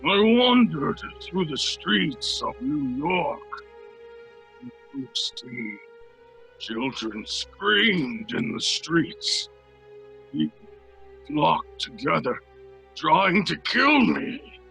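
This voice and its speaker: Menacing, lonely created monster for radio horror; harsh gravelly growl, animated swings, music bed. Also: male voice, fairly high pitch, heavily acted. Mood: wounded, brooding. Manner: slow, halting, fragmented phrases. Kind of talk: old-time radio horror acting. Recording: music under the voice.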